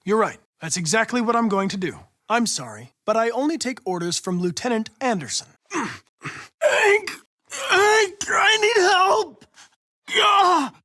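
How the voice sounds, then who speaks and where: A polite, determined young male android investigator for a video game. His voice sits fairly high for a man and swings widely in pitch. He speaks in formal, exact, controlled phrases, set on his police mission. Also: sing-song, a little slow, bright, forceful tone, slightly rough, no room sound, clean studio sound, exaggerated voice. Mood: formal, firm, then desperate shouting. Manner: formal, precise, controlled diction.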